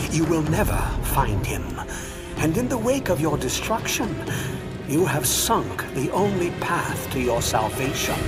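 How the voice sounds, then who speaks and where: An actor playing an ominous, all-knowing old father god in a video game, scornful, taunting and portentous. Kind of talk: video game cutscene acting. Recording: loud music and rumble behind.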